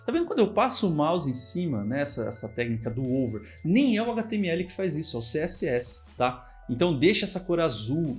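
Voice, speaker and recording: A man with a Brazilian Portuguese accent, a programming instructor walking through code in a casual, chatty screen-recorded tutorial and often checking in with the viewer. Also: a little high. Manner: casual, chatty explaining with frequent check-ins.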